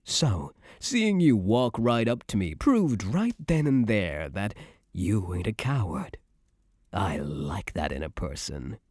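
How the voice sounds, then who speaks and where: A man's voice: on the low side, very animated, slow pace, darkish tone, dry recording, exaggerated voice. A man playing a doting young mafia heir in an intimate ASMR boyfriend roleplay, speaking with tough, streetwise affection and self-assurance. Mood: fond reminiscing.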